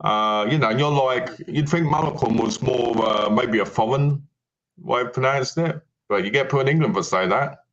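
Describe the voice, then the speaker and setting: Man, podcast co-host, relaxed chatty delivery, mid-pitched voice, muffled lo-fi sound. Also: normal speed. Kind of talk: casual chat. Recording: dull lo-fi audio.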